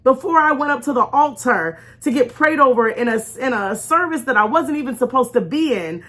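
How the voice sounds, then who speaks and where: High-voiced, animated woman host. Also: brisk. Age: adult with young children. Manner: animated, conversational, acts out imagined remarks; emphatic, repeats key phrases. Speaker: host of a faith storytime show. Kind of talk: informal inspirational faith talk.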